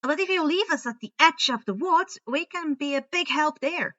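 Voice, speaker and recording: Woman as an eager, high-voiced schoolboy. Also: expressive, moderate pace, pushed and bright, lo-fi sound. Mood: earnest. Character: eager, earnest schoolboy offering help.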